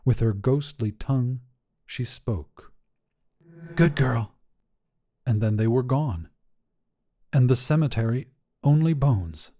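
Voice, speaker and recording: Slow, mellow male story narrator. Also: mid-range voice. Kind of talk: scripted literary fiction narration.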